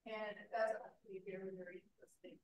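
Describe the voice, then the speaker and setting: A woman's voice: medium pitch, flat delivery, quick pace, a little mellow. A woman speaking loosely in a conference discussion, with many fillers. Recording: echoey room, distant mic, faint ambience.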